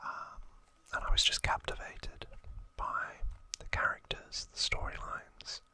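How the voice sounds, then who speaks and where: A man in his thirties speaking in a breathy, hushed whisper as an ASMR host, gently and with pauses. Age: 30s. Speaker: host of an ASMR video channel. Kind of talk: ASMR trivia talk, whispered.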